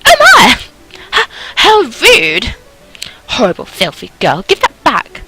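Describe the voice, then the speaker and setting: Haughty society lady, played by a woman: affronted, theatrical, high and very animated, for a gothic romance game. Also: slow, over loud music, exaggerated voice. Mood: outraged. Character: snobbish, shrill, indignant French society ladies.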